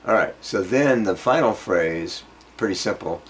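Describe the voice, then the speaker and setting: Low, slow-talking man teaching guitar. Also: expressive. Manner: halting, explanatory, checks understanding with "okay?". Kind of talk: informal instrumental lesson, demonstrating phrases. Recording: loud acoustic guitar alongside the voice. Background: guitar being played between phrases.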